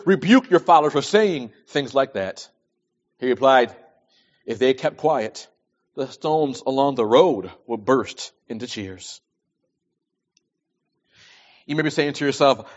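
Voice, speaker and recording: A man in his early forties preaching a sermon, speaking emphatically and repeating phrases to stress them. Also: fairly high pitch. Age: early 40s. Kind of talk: sermon with scripture readings and plain advice. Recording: dull lo-fi recording.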